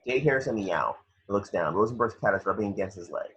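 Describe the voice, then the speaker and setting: A man's voice: lowish voice, animated, fast, a bit bright, husky. A man reading scripted action descriptions as narrator for a table read, on a muffled home recording.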